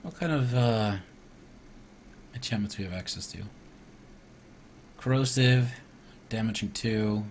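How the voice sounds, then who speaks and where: A man from Iceland doing casual live gameplay commentary, reading game text aloud and thinking out loud as he mutters to himself. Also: on the low side, slow, long pauses, slight background noise. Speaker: video game streamer.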